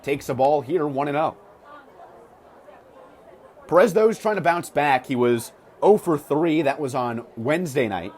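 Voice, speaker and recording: A man's voice: fairly high pitch, lively intonation, unhurried, long pauses, a little mellow, some chatter behind. An American man calling live baseball on the radio, mixing punchy, clipped calls with stat-filled asides. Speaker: baseball radio play-by-play announcer. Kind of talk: live sports commentary. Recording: clear ballpark noise behind the voice.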